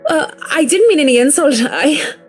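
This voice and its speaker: A woman's voice: sits high, expressive, moderate pace, soft background music. A woman playing a polite, deferential employee of a grand household in a visual novel, earnest and slightly hesitant. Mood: apologetic.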